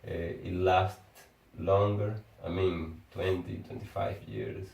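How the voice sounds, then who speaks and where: Venezuelan guy, kinda low voice, halting english w lots of restarts, sounds far from the mic in an echoey room. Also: level tone, a little mellow. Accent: Venezuelan Spanish. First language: Spanish. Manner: halting, restarts words, searches for English phrasing. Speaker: engineer. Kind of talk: casual conversation.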